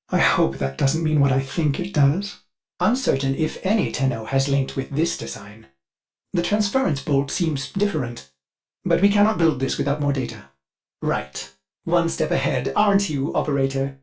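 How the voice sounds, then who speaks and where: A man's voice: on the high side, a little mellow. A man voicing a devoted, anxious ship AI in a video game, speaking in a formal, theatrical and solicitous way. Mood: worried, alert. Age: older. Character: fussy, devoted ship AI, eager, anxious, glitchy servant. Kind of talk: scripted video game voice acting.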